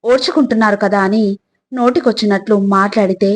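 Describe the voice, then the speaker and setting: A woman reading scripted motivational narration in short, deliberate phrases, often turning to the listener. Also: medium pitch, fairly even intonation.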